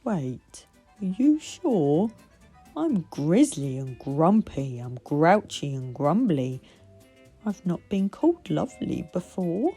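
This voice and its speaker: A woman voicing a grumpy, hungry male giant from a children's storybook, with slow, grumbling menace. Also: lowish voice, sing-song, warm tone, slightly rough, faint music behind, heavily acted. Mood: grumpy, unsure. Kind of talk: storybook character voice.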